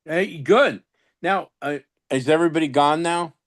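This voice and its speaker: A man with a New York accent tells stories in an animated, halting way, stopping and restarting as he goes. His voice sits a bit high for a man. The sound is close and dry. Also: no room sound. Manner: animated, laughing anecdotes with many restarts. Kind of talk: casual storytelling chat. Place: online video meeting.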